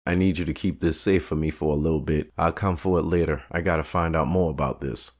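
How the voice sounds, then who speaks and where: A man plays a guarded, streetwise neighborhood guy in a crime audio drama. His voice is very deep. He keeps things short, casual and slangy. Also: steady tone, a little fast.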